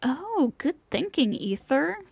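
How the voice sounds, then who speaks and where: A woman's voice: high-pitched, very animated, slow, gravelly, darkish tone, dry recording. A woman streamer reading out game dialogue on a livestream.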